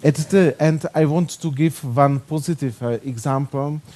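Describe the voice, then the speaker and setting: A man from the Czech Republic with a Czech accent, speaking halting non-native English with many fillers at a conference panel. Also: on the high side, mellow.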